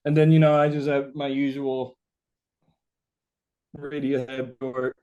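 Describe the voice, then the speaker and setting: A man being interviewed as a musician, answering casually and haltingly, thinking aloud and often saying "like". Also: mid-range voice, level tone, dark tone, lo-fi sound.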